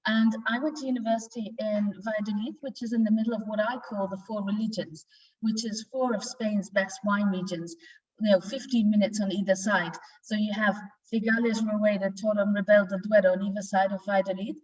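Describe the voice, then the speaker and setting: A woman's voice: medium pitch, steady tone, fairly quick, darkish tone. A woman in her forties with a Northern English accent, telling her story informally as a guest presenter on a video call. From north of England. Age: 40s. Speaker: guest presenter, wine exporter. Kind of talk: informal virtual tasting presentation. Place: office tasting room, indoors. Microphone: video call, away from the mic. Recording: distant, dull lo-fi video-call sound.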